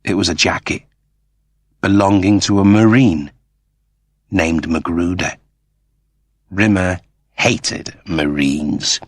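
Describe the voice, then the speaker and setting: A man's voice: deep voice, slow pace, long pauses, no echo, studio quality. A man narrating scripted science fiction as a dramatic audiobook reader.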